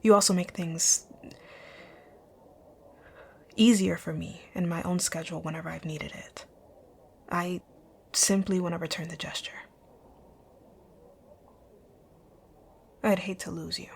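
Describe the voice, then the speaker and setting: Woman acting a low, breathy, bossy rich female boss. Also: fairly even intonation, a little mellow, slight background noise. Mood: cool, guarded. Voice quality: breathy, often near-whispered. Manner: composed, commanding, deliberate. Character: rich, bossy, dominant female company owner, curt yet flirtatious. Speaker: audio roleplay voice actor. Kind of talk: ASMR roleplay, scripted one-sided dialogue.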